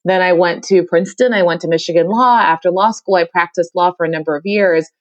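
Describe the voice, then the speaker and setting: A woman's voice: on the low side, fairly quick, no echo, highs cut off. A middle-aged woman from the Caribbean, talking energetically as a podcast interview guest and often repeating her phrases. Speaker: guest, diversity consultant.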